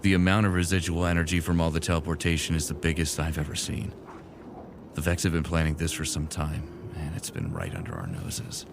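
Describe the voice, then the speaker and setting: A man's voice: very deep voice, brisk, gravelly, background noise. A man playing a weary, remorseful futuristic soldier in an earnest, brooding way, narrating and speaking in a sci-fi audio drama. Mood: grave.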